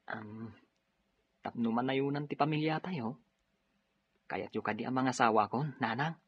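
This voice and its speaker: An actor plays a dutiful, reassuring young Ilocano policeman in a drama. His voice is husky and medium in pitch. The recording sounds slightly dull and lo-fi. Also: moderate pace, no room sound, natural voice. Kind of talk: Ilocano radio-style drama acting.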